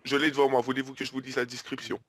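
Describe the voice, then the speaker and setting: A man's voice: mid-range voice, level tone, very fast, a bit bright, a little hiss or hum. A man making a prank phone call, giving brief, formally polite replies. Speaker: prank caller.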